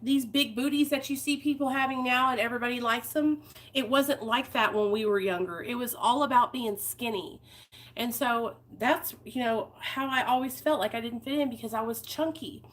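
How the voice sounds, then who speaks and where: A woman's voice: a little high, moderate pace, a bit bright. A woman, talking candidly and heartfelt to her viewers in a personal vlog. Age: 30s. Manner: candid, heartfelt, rambling with fillers. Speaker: vlogger.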